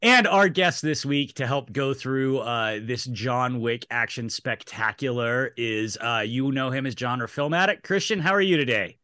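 Bright-voiced male podcast host. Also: mid-range voice, no echo. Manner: brisk, organized intros. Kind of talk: casual podcast chat.

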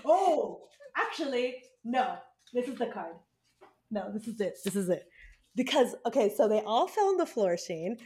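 A woman with a fairly high voice talks casually as a podcast co-host. She is giggly and gushing, with slangy, informal phrasing throughout. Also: very animated, normal speed, a little mellow, husky. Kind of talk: casual chat with tarot card readings.

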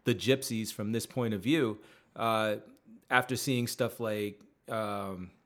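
A man's voice: lowish voice, fairly even intonation, slow pace. A man co-hosting a casual talk show, rambling loosely with lots of fillers and restarts. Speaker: podcast co-host. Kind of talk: casual chat.

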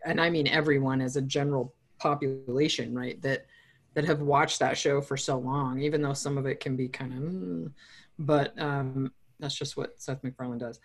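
An American woman with a deeper voice, co-hosting a podcast in casual, emphatic chat, swearing freely. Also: fairly even intonation, normal speed. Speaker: podcast co-host.

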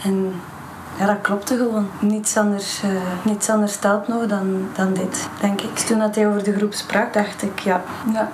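Casting: actress as sincere Flemish woman, thirties, halting interview answers, medium pitch, roomy noisy sound. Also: little pitch movement, close to an everyday voice. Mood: hesitant. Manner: halting, searching for words. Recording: echoey room with loud background noise.

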